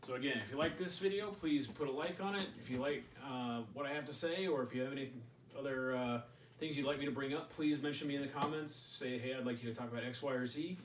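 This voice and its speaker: A man's voice: medium pitch, a little fast, roomy sound, recorded from a distance, a little hiss or hum, poor audio. A man hosting a casual gear review at home, rambling and joking as he thinks aloud. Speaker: channel host. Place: indoors at home.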